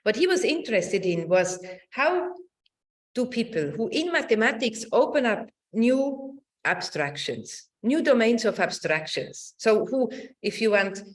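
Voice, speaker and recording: A middle-aged woman giving a semi-improvised academic talk over a video call, haltingly searching for words and checking with a questioning tag. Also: fairly high pitch. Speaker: academic lecturer. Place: indoor room, online presentation.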